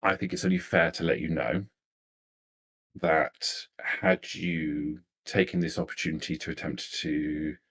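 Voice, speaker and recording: A man acts out a poised vampire noblewoman in a tabletop game. The voice sits low and chooses words formally and deliberately, polite with cool menace underneath. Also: steady tone, a bit bright, heavily acted. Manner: formal, measured, deliberate diction. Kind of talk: improvised tabletop roleplay.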